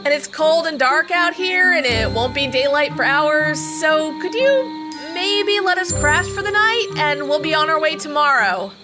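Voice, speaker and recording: Young farm girl, high lively voice, sarcastic, plucky, blunt, audio drama. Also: measured pace, over music. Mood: wry pleading. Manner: wry, exasperated, blunt.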